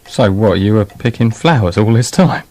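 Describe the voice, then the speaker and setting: Offhand sidekick, animated pitch. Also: male voice, moderate pace. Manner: offhand, matey. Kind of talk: comic radio play acting.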